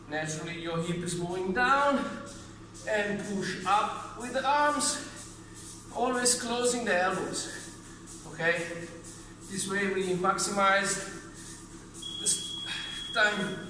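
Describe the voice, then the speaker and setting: A man's voice: high voice, noisy background. A man in his thirties, a non-native English speaker, leading a workout as he demonstrates it, with short cued commands and physical effort in his voice. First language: not English. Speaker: fitness trainer. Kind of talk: workout instruction while demonstrating. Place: large indoor gym with mats. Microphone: camera several metres away. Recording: echoey gym, distant mic, music behind.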